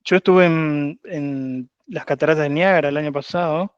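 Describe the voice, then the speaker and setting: Male interviewer, halting delivery, higher pitch, dry muffled sound. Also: smooth, no room sound. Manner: hesitant, searches for words. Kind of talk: casual conversation.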